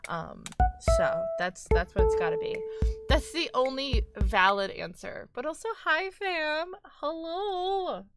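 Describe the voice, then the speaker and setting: A woman's voice: sits high, animated, slow pace, soft background music. Congested woman streamer, stuffy worn voice. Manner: chatty, greets and thanks viewers. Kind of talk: casual live-stream chat.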